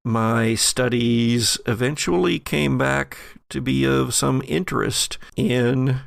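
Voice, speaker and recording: A man plays an ex-FBI scholar of the occult in a tabletop horror game, knowing and offhand. His voice is very gravelly and creaky, with halting explanations and a close, dry sound. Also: mid-range voice, fairly even intonation, no echo. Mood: dry, defensive.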